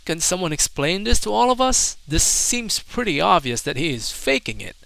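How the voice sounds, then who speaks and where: A man's voice: a little high, gravelly, bright, forceful tone, no echo. A man giving opinionated, accusatory commentary in the manner of a video essay. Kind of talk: critical commentary.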